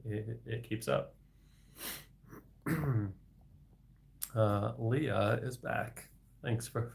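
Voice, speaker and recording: A young man hosting a casual solo livestream, speaking with a heavy stutter full of sound repetitions and blocks and breaking into soft laughs. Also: fairly low pitch, vocal fry. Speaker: livestream host reading viewer questions.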